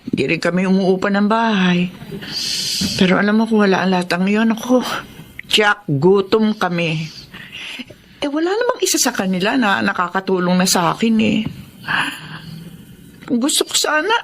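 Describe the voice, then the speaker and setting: An actress playing a weary, gentle older widowed mother with a Filipino accent in a radio drama. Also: medium pitch, expressive, normal speed, pauses often, natural voice. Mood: sorrowful. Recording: noticeable background noise.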